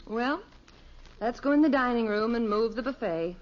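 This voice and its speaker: A woman's voice: a little high, lively intonation, normal speed, close to an everyday voice. An actress playing a patient, wry middle-aged housewife and mother in a 1950s radio sitcom, with a dry, knowing manner. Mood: practical. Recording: muffled vintage radio recording.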